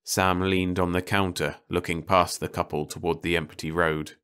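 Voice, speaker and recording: A man's voice: sits low, flat delivery, moderate pace, a bit bright, clean tone. A man narrating a short story as a scripted audiobook.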